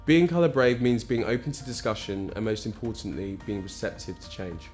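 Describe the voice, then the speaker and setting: A man speaking calmly and deliberately in a corporate testimonial. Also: lowish voice, soft background music.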